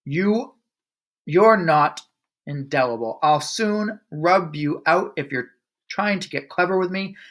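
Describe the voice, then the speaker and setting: A man voicing a loud, bullying female headmistress who barks and sneers. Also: a little high, expressive, takes it slowly, pitched above the performer's own voice. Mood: scornful shouting. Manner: barking, scornful.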